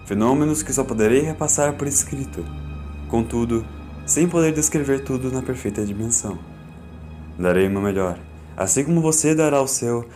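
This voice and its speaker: Man, Brazilian accent, audiobook narrator, solemn, deliberate dramatic phrasing, mid-pitched, music behind. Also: darkish tone. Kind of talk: scripted narration of a fan audiobook.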